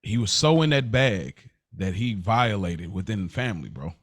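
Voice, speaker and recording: An American man hosting a casual podcast discussion, telling stories in an animated way, acting out quotes and repeating phrases for emphasis. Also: on the low side, moderate pace, no room sound, clean studio sound. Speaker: podcast host.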